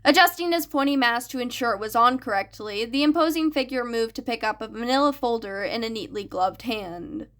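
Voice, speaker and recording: A woman's voice: on the high side, a little fast, few pauses, a bit bright. A woman reading a story aloud as a scripted audiobook narration. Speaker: fan fiction narrator.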